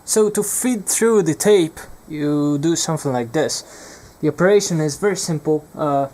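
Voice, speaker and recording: A man's voice: a little high, normal speed, warm tone. A young man presenting an unscripted hands-on electronics demonstration, speaking hesitantly and searching for his words.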